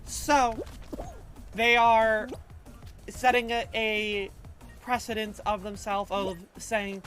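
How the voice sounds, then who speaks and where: A woman's voice: fairly high pitch, little pitch movement, takes it slowly, a bit bright. A woman livestreamer chatting casually with her viewers, reading chat aloud in a loose, rambling, slangy way. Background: faint background music.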